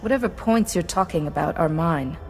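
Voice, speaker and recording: Firm, low-voiced young Jedi apprentice. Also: female voice, expressive, normal speed. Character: self-possessed young female alien Jedi apprentice. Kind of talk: video game dialogue acting. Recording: echoey hall, music behind.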